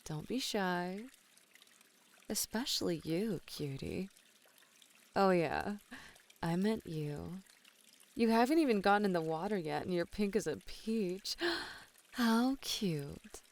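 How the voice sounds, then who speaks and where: A woman playing a flirty, playful bunny woman in an ASMR roleplay, teasing and coy with soft sighs. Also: lowish voice, lively intonation, slow, long pauses. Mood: flirty, welcoming. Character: flirty, playful bunny woman, a model relaxing in a hot spring. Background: pouring, trickling water.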